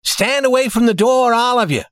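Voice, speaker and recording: A man's voice: high-pitched, moderate pace, dry recording, studio quality, voice pushed up, natural voice. A man voicing a composed young reformed safecracker in an audiobook story. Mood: commanding. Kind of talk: audiobook character voice.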